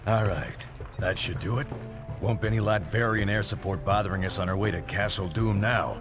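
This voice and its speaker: A hard-bitten game commander, played by a man, speaking low with brusque, sardonic authority. Music runs clearly underneath his voice. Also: brisk, lightly acted. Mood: commanding. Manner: brusque, barking, mocking. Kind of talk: video game cutscene voice acting.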